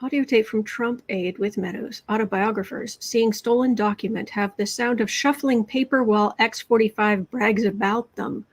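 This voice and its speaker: A woman hosting a political tarot livestream who reads out cards and viewer comments in a chatty, sarcastic way, often restarting her sentences and clearing her throat. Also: a little high, steady tone, moderate pace, hardly any pauses. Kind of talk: casual livestream commentary while reading cards and chat.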